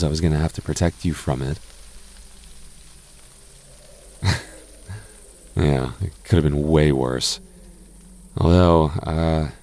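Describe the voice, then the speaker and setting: A man's voice: deep voice, darkish tone. A young man playing an anxious, easily spooked but protective goth boyfriend in a roleplay audio drama, with a hesitant, stammering, sighing delivery. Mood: sheepish, protective.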